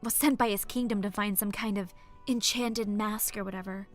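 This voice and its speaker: A woman playing a sharp-tongued, short-tempered human barwoman, sardonic and teasing, in a fantasy audiobook. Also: medium pitch, fairly even intonation, normal speed, faint music behind, close to an everyday voice. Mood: casual. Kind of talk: audiobook character acting.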